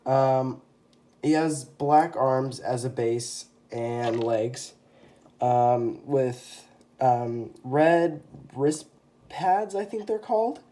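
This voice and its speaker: A man's voice: medium pitch, extremely slow, dark tone. A young man with a stuffy, congested voice from a cold, casually showing off a custom toy figure with lots of ums and self-corrections. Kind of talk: casual show-and-tell. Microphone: phone camera.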